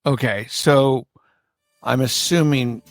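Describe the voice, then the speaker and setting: Slow, deliberate male podcast host. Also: mid-range voice, faint music behind. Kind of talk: interview questions.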